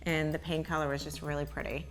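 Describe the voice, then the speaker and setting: A woman's voice: sits low, flat delivery, quick pace, faint music behind, low-quality recording. A middle-aged woman co-hosting a casual home design chat, reading out viewer questions and explaining with an upbeat, easy laugh.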